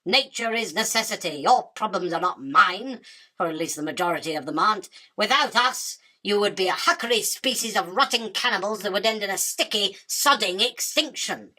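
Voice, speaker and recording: Bright-voiced haughty spider queen , acted by a woman. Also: medium pitch, expressive, normal speed. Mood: irritated, superior. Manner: imperious, dismissive, self-justifying. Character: haughty, self-absorbed, hungry female spider queen. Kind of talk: theatrical radio drama acting.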